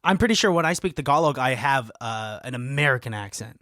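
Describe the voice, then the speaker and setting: A man's voice: mid-range voice, expressive, a little fast, pushed and bright, no room sound, clean studio sound. A young man co-hosting a podcast, talking casually and candidly and laughing easily. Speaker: podcast co-host.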